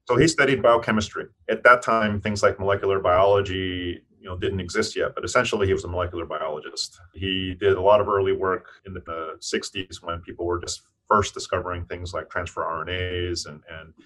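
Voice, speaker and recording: A man in his fifties with a very deep voice, a guest on an interview. He answers in a thoughtful, measured way, often pausing and restarting his sentences. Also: fairly even intonation, fairly bright tone, rough recording. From United States (Eastern Tennessee). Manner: thoughtful, with frequent restarts and fillers. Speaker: physician and scientist guest. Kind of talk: interview conversation.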